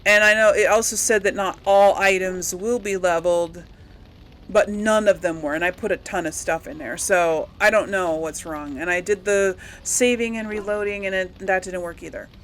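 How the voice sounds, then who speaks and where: A woman with a medium-pitched voice hosts a video game playthrough. She speaks to her viewers informally, in a chatty, rambling manner, as casual commentary. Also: moderate pace, a little hiss or hum. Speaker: host of a video game let's play channel.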